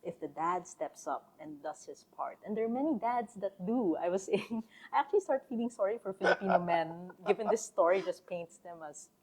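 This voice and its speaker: A woman sociologist speaking as a podcast guest, thoughtful and relaxed, with frequent fillers and easy laughter. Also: mid-range voice, a little fast, hardly any pauses, rough recording. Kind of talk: casual interview conversation.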